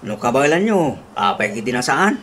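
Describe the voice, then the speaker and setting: A woman voicing a gruff, doubting husband in a comedy radio drama, grumbly and full of questions. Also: very deep voice, very animated, brisk, background noise.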